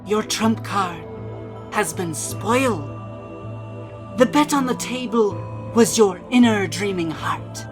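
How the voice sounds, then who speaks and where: A woman's voice: medium pitch, lively intonation, slow, long pauses. A woman playing a coldly mocking, vengeful masked villain in a dramatic video game monologue reading. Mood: cold, ominous. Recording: music behind the voice.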